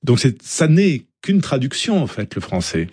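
A man's voice: mid-range voice, sing-song, normal speed, darkish tone, slightly rough, no echo, clean studio sound. A man working as an interviewer, putting questions in a conversational way.

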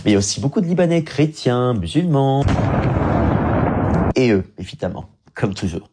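A man voicing a flamboyant, sarcastic queer character who mocks a pundit in a comedy sketch, with high, swooping retorts. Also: big pitch swings, normal speed, very noisy, lightly acted. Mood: mocking.

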